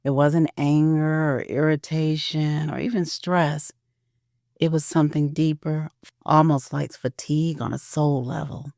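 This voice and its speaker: A woman's voice: sits low, fairly even intonation, unhurried, gravelly, a little mellow, no room sound, clean studio sound. A woman narrating a scripted audio drama as storyteller.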